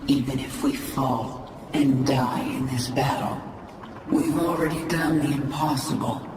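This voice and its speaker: An actress playing a grim, vengeful alien swarm queen in a game cutscene, measured and commanding, with an echoing processed voice. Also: extremely low pitch, steady tone, background noise. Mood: grave resolve. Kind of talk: dramatic game cutscene acting. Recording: echoing, distant, under loud music.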